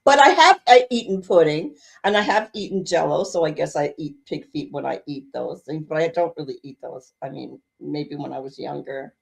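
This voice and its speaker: A woman's voice: sits low, normal speed, vocal fry, hardly any pauses, breathy edge, rough recording. A woman from the Caribbean, co-hosting a podcast in casual chat, laughing often and repeating herself. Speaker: podcast co-host.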